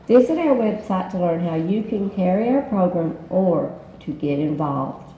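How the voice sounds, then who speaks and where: A woman reading scripted promotional narration for an explainer video in a measured, explanatory way. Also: on the low side, expressive, muffled recording. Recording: roomy playback with background noise.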